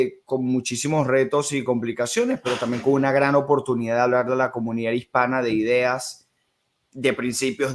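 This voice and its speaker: A young man from Venezuela with a Venezuelan accent, a political commentator answering interview questions politely and steadily. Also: medium pitch. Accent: Venezuelan Spanish. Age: young adult.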